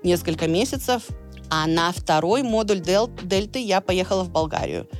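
Lively storytelling woman in an interview. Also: fairly low pitch, normal speed, soft background music. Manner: lively storyteller, acts out quoted dialogue; explains patiently with many small restarts. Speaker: guest, medical interpreter and former English teacher. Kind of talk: casual interview chat.

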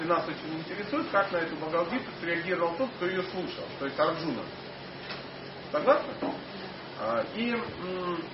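Halting foreign-accented man lecturing in a hall. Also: on the high side. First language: not Russian. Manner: halting, many fillers and restarts, asks the audience questions. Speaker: lecturer on scripture. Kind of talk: informal religious lecture to an audience. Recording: echoey hall, mic at a distance, dull sound, noisy.

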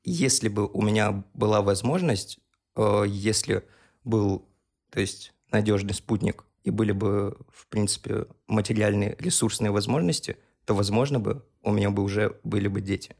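A man's voice: fairly low pitch, fairly even intonation, normal speed, gravelly, darkish tone. A man in his early twenties chatting on a podcast, explaining his views earnestly and at length. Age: 20s. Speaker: podcast co-host. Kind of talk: casual podcast chat.

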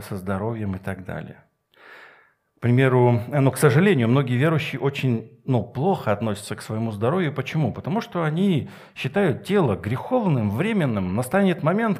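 A middle-aged man preaches a Sunday sermon in a mid-pitched voice. He speaks emphatically, leaving deliberate pauses and posing rhetorical questions to his listeners. His delivery mixes scripture with personal storytelling. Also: darkish tone. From Soviet Union.

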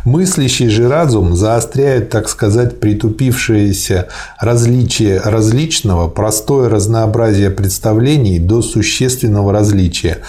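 Low mellow man reading aloud. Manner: measured, reads aloud steadily. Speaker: co-host reading and discussing texts. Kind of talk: reading quotations aloud in a discussion.

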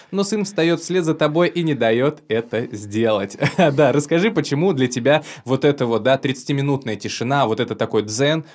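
A man hosting a podcast interview, asking questions in a friendly, laughing manner. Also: medium pitch. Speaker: podcast host. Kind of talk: casual interview.

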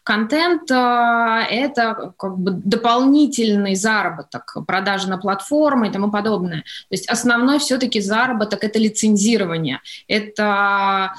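A woman answering as an interview guest, speaking diplomatically and choosing her words with some hesitation. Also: mid-range voice. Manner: measured, diplomatic, hesitant phrasing. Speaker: interview guest, animation studio executive.

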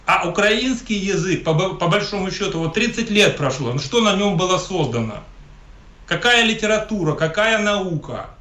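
A man's voice: high-pitched, lively intonation, normal speed, sharp tone. A man from Ukraine, an interview guest giving emphatic, polemical political commentary and often repeating himself. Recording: echoey room.

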